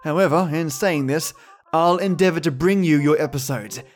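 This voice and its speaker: A man's voice: on the high side, normal speed, a little hiss or hum. A man hosting a storytelling show, chatting casually to his listeners. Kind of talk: casual outro chat to listeners. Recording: music behind the voice. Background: music.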